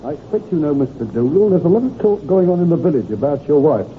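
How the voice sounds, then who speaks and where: A man's voice: a little high, lively intonation, quick pace, natural voice. A man playing a courteous, probing British police inspector in a 1950s radio drama, polite and matter-of-fact. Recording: tinny, noisy old radio recording.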